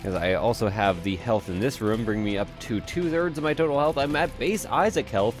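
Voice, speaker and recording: A man's voice: medium pitch, brisk, faint music behind. A congested young man giving casual, rambling let's-play commentary and cursing now and then. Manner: rambling, chatty, swears casually. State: stuffy, congested. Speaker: gameplay commentator.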